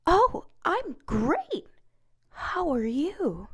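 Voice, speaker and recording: Actress as naive mythic young woman, high, slow, animated, hesitant, girlish, tempted, audio drama. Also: exaggerated voice. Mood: polite. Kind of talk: amateur audio drama acting.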